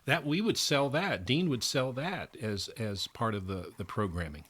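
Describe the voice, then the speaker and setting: A man's voice: mid-range voice, animated, moderate pace, a bit bright, breathy edge. A middle-aged man, reminiscing in easy conversation and chiming in agreeably. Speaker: radio veteran, podcast guest. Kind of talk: casual reminiscing chat.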